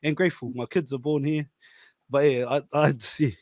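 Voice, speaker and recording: A young man hosting a casual podcast interview, slangy, animated and quick to laugh. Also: fairly high pitch, dry recording, studio quality. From New Zealand. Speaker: podcast host.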